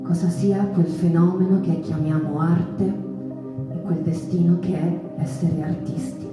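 A woman giving scripted theatrical narration in a measured, literary storytelling manner. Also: fairly low pitch. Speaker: narrator and stage performer. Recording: reverberant hall, slightly distant, music behind. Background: music.

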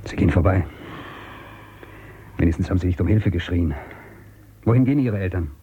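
An actor playing a brusque, suspicious outcast in a radio drama, with a commanding, curt way of questioning. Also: male voice, deep voice, fairly quick, dark tone, slightly rough, dull audio. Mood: sober, resigned. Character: brusque, suspicious male outcast hiding in abandoned future city. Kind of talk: German radio drama acting.

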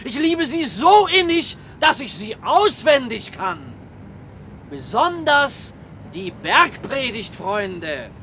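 A man's voice: extremely high pitch, big pitch swings, slow pace, sharp tone, a little hiss or hum, exaggerated voice. An actor playing a fervent lay preacher in a radio play, exhorting his listeners with emphatic sermon phrasing.

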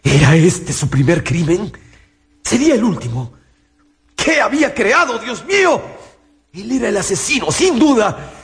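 A man's voice: high-pitched, big pitch swings, unhurried, pauses often, slightly rough, full character voice. An actor playing a tormented scientist haunted by his monstrous creation, narrating theatrically in an anguished, brooding radio-drama style. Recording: storm and rain sound effects behind. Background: thunder, rain.

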